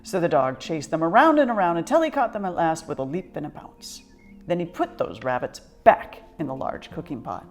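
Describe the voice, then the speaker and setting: Female storybook reader, lower-pitched voice, very animated expressive narration for young children. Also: normal speed, soft background music.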